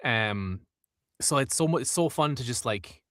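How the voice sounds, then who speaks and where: Bright-voiced Irish man chatting. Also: mid-range voice, expressive, normal speed, dry recording, studio quality. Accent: Irish, from Wexford. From Ireland. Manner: chatty, laughs easily, tries out accents. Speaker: audiobook narrator, guest. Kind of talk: casual interview chat.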